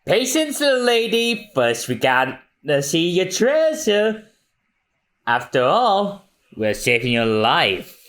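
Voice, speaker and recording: Brief: man putting on smug grabby pirate crooks, high and taunting. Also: very animated, slow, a bit bright, exaggerated voice. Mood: gloating. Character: greedy male pirate thugs, mocking and sly.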